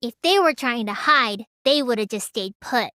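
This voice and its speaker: A woman's voice: fairly high pitch, expressive, normal speed, pushed and bright, no echo. A woman voicing a small, childlike teenage girl mage in an anime video game, earnest and pouty, stammering when flustered. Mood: pondering.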